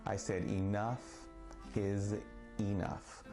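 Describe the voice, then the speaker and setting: A man who stutters, speaking slowly and deliberately with long pauses in a personal monologue. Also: lowish voice, level tone. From Texas. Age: 40s. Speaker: vlogger. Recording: faint guitar music behind.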